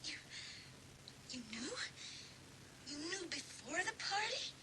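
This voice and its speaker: A woman's voice: extremely high pitch, big pitch swings, slow, heavily acted. A woman playing a bitter, vengeful, unhinged teenage girl in a dramatised audiobook, with a melodramatic delivery between hisses and shrieks. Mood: menacing.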